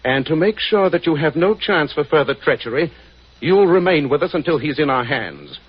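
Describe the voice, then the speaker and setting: Resolute sleuth, authoritative and formal, very varied pitch, vintage radio drama. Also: male voice, very animated, normal speed, slightly rough. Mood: firm resolve. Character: shrewd, commanding gentleman detective, stern and resolute. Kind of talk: 1940s radio drama acting. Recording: dull, noisy 1940s radio recording.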